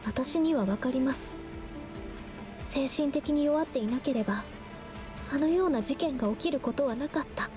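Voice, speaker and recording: A woman voicing an earnest, gentle young female painter in an anime game, speaking politely and solemnly. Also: high-pitched, over music, close to an everyday voice. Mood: pained, accusing. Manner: polite, solemn, measured speech.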